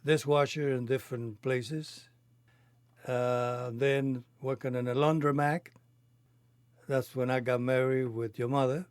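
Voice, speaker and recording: A man in his eighties from Cuba, a native Spanish speaker with a Cuban accent, recounting his life in halting, non-native phrasing. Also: mid-range voice, fairly even intonation, darkish tone, clear, resonant tone, no echo. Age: 80s. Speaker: interviewee telling his life story. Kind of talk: reminiscing conversation.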